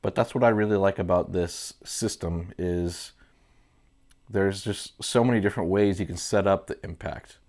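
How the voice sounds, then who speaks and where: A man's voice: sits low, normal speed. A man in his thirties with a stuffy nose from a cold, casually walking through the features of his airgun in an indoor studio. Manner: relaxed, easygoing explanations with fillers. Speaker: airgun reviewer. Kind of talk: casual product walkthrough. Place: indoor studio room with red lights.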